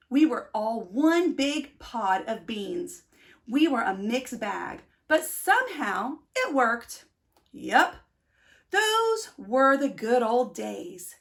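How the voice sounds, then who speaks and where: A woman with a high voice reads a picture book aloud for children. She takes her time, stretching words out for playful, dramatic emphasis. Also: vocal fry, fairly bright tone. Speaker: read-aloud storyteller.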